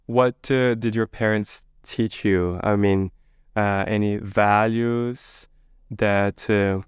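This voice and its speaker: A man hosting a podcast, asking curious, gently probing questions with some fillers. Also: lowish voice, fairly even intonation, slow, clean tone, no echo, clean studio sound. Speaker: podcast host. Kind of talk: interview questions. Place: podcast studio.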